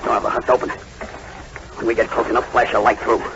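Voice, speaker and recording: A man's voice: medium pitch, flat delivery, normal speed, close to an everyday voice. An actor playing a tense, commanding armed man on an island in a 1940s radio drama, giving terse, urgent orders. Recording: muffled old radio drama, faint noise.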